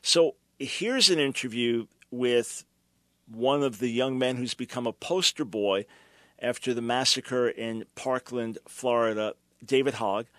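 A man's voice: mid-range voice, a little slow, fairly bright tone, no room sound. An older male host giving emphatic, preacherly commentary to his listeners. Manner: emphatic, preacherly, mocking at times. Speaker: radio show host.

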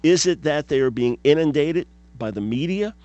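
A man's voice: fairly high pitch, animated, normal speed, darkish tone, no room sound, highs cut off. A man in his fifties hosting a radio talk show, speaking emphatically and asking rhetorical questions. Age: 50s.

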